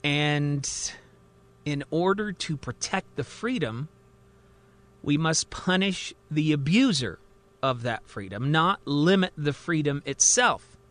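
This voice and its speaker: A man acts out an agitated male patient in an audio drama who insists he is the president. His voice is fairly high and forceful. He speaks with earnest, desperate conviction. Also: expressive, slow pace. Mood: earnest, reflective. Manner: earnest, increasingly desperate and angry. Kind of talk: dramatized storytelling in a fiction podcast.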